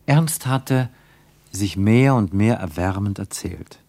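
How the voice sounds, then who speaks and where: A man playing an earnest, contemplative narrator in a literary radio play, phrasing deliberately and rhetorically. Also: lowish voice, darkish tone, no echo.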